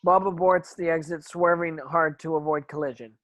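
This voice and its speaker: Higher-voiced man reading stage directions. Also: flat delivery, normal speed, fairly bright tone, no room sound, low-quality recording. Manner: stumbles over words while reading. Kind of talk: scripted narration of screen action.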